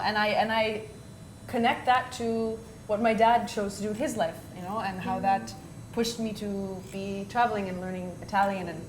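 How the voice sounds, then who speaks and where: An American woman shares personal stories with a small group in an open room at home. Her voice sits at an average pitch and she talks conversationally, with casual asides. The room rings with echo, and there is a clear low rumble of noise behind her. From United States. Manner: conversational, with frequent "you know" and "like". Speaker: guest storyteller and host of the evening at home.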